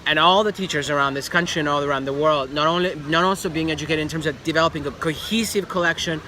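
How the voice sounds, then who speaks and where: A man's voice: a little high, fast, bright, forceful tone. A man from Albania talking casually as an interview guest, emphatic and repeating phrases for stress. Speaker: fashion designer, interview guest. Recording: noisy outdoor street with traffic.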